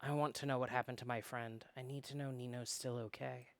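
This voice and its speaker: A man reading aloud as an earnest, anxious young runaway rookie officer in a visual novel, speaking haltingly. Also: medium pitch, little pitch movement, gravelly, dry recording, natural voice. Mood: pleading, guilty. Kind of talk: amateur visual novel read-aloud acting.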